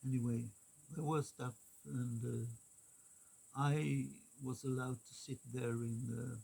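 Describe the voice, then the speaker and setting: A man talks slowly, leaving long pauses between short phrases. His voice is gravelly and husky. He rambles casually, hunting for his words as he goes. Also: mid-range voice, flat delivery, warm tone, low-quality recording. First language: Swedish. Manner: halting, many pauses and fillers. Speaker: vlogger.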